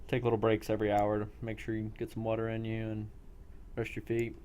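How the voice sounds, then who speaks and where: A man with a fairly low voice narrates a role play game as its game master. He moves in halting phrases with pauses. There is some noise on the recording. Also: completely flat delivery, dark tone.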